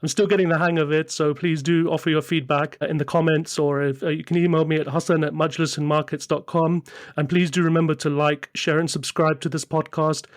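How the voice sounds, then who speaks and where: A man hosting a podcast, with a voice a little higher than most men's. He chats informally and corrects himself mid-sentence. The recording is dry and close. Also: little pitch movement, brisk, dry recording. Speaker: podcast host. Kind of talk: casual podcast interview.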